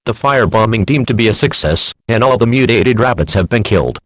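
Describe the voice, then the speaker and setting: A man acts as a stern government announcer on an emergency broadcast. His pitch is a little low, and his delivery is formal, impersonal and authoritative. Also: animated, fairly quick, no echo. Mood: formal, official.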